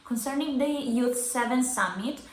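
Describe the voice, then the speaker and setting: A woman's voice: a little high, a little slow. Young woman introducing herself, earnest, stops to find words now and then, echoey room. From Sardinia, Italy. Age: young adult. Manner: earnest, searching for words at times. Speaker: youth delegate. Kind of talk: self-introduction.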